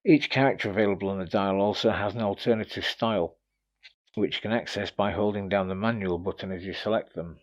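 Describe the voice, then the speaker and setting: A man's voice: on the low side, brisk, lo-fi sound. A man giving a casual, informal product review, explaining features and tips in a slightly hesitant way. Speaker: gear reviewer presenting a demo.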